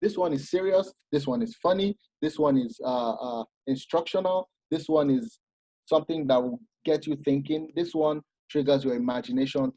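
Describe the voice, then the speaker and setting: A man leads a masterclass in an animated, explanatory way. His voice is husky and slightly breathy, and the sound is dry and close. He often stops and restarts his sentences. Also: on the high side, moderate pace, dry recording, rough recording. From Nigeria. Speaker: masterclass speaker. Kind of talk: informal talk at a masterclass.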